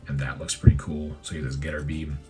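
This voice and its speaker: A man with a hoarse voice reviewing a collectible figure in a casual, rambling way, full of fillers and self-corrections. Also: rumbling low, level tone, quick pace, over loud music. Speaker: toy reviewer.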